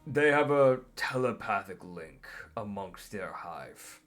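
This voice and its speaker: A man acting as game master, explaining the world of a tabletop roleplaying game in a deliberate way with dramatic pauses. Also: on the low side, animated. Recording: background music under the voice.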